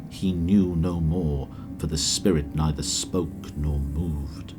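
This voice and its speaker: A man giving solemn, measured scripted narration for an audio drama. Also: very deep voice, steady tone, music in the background.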